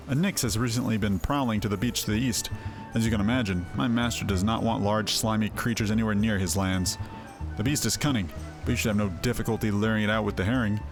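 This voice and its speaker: A man's voice: fairly low pitch, gravelly. A man voicing a stern, sceptical veteran mercenary captain in a fantasy game, speaking in a blunt, formal, archaic way. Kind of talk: voiced fantasy game dialogue. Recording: game music behind voice. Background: game music.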